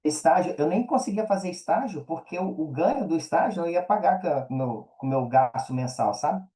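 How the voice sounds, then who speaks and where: Brazilian male podcast guest: soft-edged, mellow tone, colloquial, earnest, repeats himself to stress a point. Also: a little high, expressive, brisk. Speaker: podcast guest, affiliate marketer and mentor. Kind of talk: casual podcast conversation.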